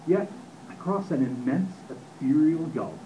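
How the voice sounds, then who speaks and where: A man's voice: a little high, animated, dark tone. Older man as grave radio narrator, noisy room. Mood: ominous, solemn. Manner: solemn, deliberate, portentous. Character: grave, ominous narrator of an alien invasion tale. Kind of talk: dramatic radio play narration. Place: seated at panel table in hotel meeting room. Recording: noisy room, camera recording.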